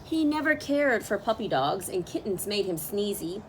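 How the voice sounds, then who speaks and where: A woman reading a picture book aloud as a storyteller, with expressive, drawn-out phrasing for young listeners. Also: mid-range voice, slight background noise, poor audio. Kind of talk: expressive children's read-aloud narration.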